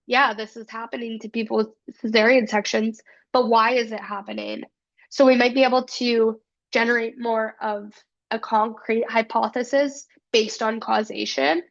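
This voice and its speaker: A woman's voice: a little high, creaky, highs cut off. A woman with a cold giving a casual academic presentation, earnest and full of fillers. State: has a cold. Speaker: student presenter.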